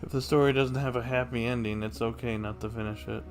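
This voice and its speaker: A man with a medium-pitched voice chats casually as a game livestreamer. His commentary sits over clearly audible game music. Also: fairly even intonation, fast talker.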